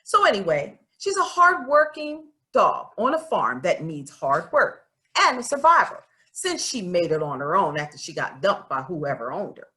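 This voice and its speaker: A woman plays an earnest, stubborn farm girl of about twelve telling her own storybook tale. The voice is bright and very animated in pitch. The telling is plain-spoken, folksy and confiding. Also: moderate pace. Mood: admiring. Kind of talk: children's storybook narration.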